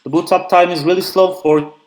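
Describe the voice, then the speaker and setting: A man's voice: high voice, steady tone, a little fast, a little mellow. Chatty man giving a remote talk. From Turkey. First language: Turkish. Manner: frequent "uh" fillers, loose and chatty. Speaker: conference speaker. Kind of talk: casual technical talk. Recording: echoey remote call audio.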